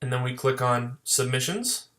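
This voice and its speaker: A man narrating an instructional screen tutorial as a software trainer, explaining plainly step by step. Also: medium pitch, lively intonation, normal speed. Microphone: voice-over for screen recording.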